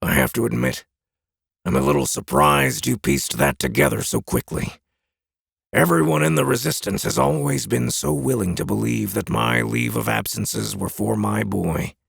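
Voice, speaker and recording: A man playing a cold, manipulative military commander and villain in an audiobook drama, calm and condescending in manner. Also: lowish voice, moderate pace, no echo, studio quality, full character voice. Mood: calm, smug. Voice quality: gravelly, husky.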